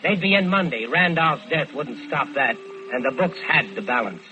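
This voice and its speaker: An actor playing a smooth-talking American killer who tells his own crime in vintage radio drama style. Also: fairly high pitch, animated, normal speed, sharp tone, slight background noise. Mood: tense, confessional. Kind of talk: 1940s-50s radio crime drama acting. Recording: dull lo-fi old radio recording.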